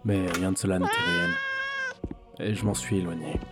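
A man's voice: low-pitched, huge pitch swings, normal speed, loud music behind the voice. A man playing a disillusioned office worker who broods and mutters to himself in a French audio drama. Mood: weary regret. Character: weary, disillusioned male office worker, former gamer.

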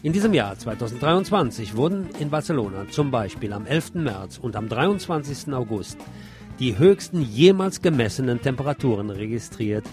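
A male news presenter reads scripted reports in an emphatic, slightly wry way. His voice sits at an average male pitch. Music with drums and guitar plays clearly behind him. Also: very animated, normal speed.

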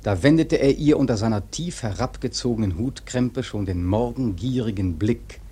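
An actor playing a grave seafaring storyteller, narrating a radio drama in a husky, measured, literary way. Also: male voice, mid-range voice, dark tone, lightly acted. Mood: grave, suspenseful. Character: grave male seafaring storyteller recounting a whale hunt.

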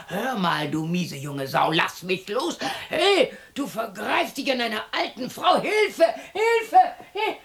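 Casting: sixtyish widow, radio drama monologue, gravelly and breathy, bitter, self-scolding, swinging widely in pitch. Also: female voice, exaggerated voice. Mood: indignant, alarmed. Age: 60s. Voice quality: husky, creaky, often dropping to a breathy whisper. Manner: talks to herself, scolding and questioning, abrupt shifts. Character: lonely, bitter sixty-year-old widow muttering to herself. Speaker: lead actress in a radio play.

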